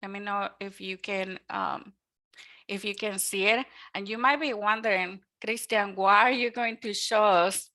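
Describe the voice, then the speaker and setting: A woman's voice: medium pitch, fairly even intonation, unhurried, bright, forceful tone. A woman with a Mexican Spanish accent, a native Spanish speaker from Mexico, giving an earnest, upbeat webinar presentation on home loans with frequent ums. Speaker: mortgage lender presenting a webinar.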